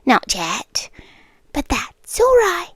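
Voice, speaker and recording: A British woman playing an inhuman, possessive desert queen in an ASMR roleplay, softly menacing and obsessive, speaking deliberately in a breathy half-whisper. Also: high voice, wildly swooping pitch, no room sound, heavily acted. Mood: tender, possessive.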